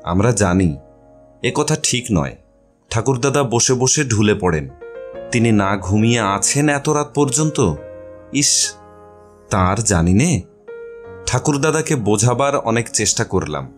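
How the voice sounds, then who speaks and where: Slow-paced male story narrator. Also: fairly low pitch, expressive, faint music behind. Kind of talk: scripted literary narration.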